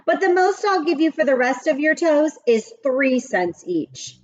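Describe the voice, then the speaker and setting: A sly schoolyard teacher from a storybook, a man played by a woman, coaxing and haggling in an animated, unhurried voice. The sound is a little dull and lo-fi. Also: high-pitched, voice pushed up. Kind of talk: children's storybook read-aloud.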